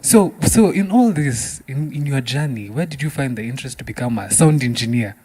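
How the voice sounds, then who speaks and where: A man's voice: medium pitch, expressive, brisk, darkish tone, breathy edge. A man with a Kenyan accent hosting a music talk show in casual, bantering style with exclaiming reactions. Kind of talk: casual bantering interview, switching between English and Swahili.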